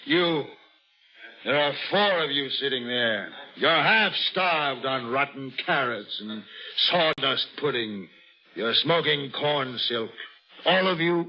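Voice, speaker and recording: A man's voice: mid-range voice, animated, soft background music. An actor playing a drunken, theatrical Frenchman, mocking and grandiose, in a bombastic old-time radio style. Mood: taunting. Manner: bombastic, declamatory, slow and deliberate. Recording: dull old radio recording.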